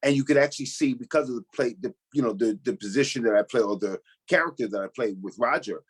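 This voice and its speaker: A man with a husky, rough-edged voice at an average pitch gives conversational interview answers. He is earnest and emphatic, restating his point as he builds it. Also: fast. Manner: earnest, emphatic, leans on "you know". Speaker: pastor and cast member, interview guest.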